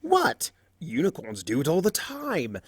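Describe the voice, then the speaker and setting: A man's voice: fairly high pitch, huge pitch swings, unhurried, breathy edge, dry recording, studio quality, voice pushed up, exaggerated voice. A man voicing a mischievous, smug male chaos creature from a cartoon fan story, theatrical and mocking, drawing out his words. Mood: smug teasing.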